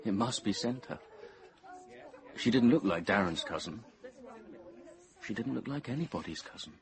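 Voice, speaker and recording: Low-voiced, brooding young male narrator. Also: expressive, a crowd in the background. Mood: entranced. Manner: measured, intense, dropping to near-whispers. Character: brooding, obsessive young man narrating his confession. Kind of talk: radio drama first-person narration.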